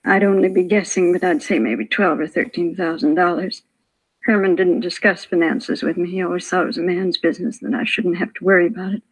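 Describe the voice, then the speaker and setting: An actress playing a frail, shaken older widow, hesitant, plaintive and apologetic, in a 1950s radio police drama. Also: female voice, fairly low pitch, steady tone, fairly quick, slightly rough, rough recording. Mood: plaintive, resigned. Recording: vintage 1950s radio broadcast.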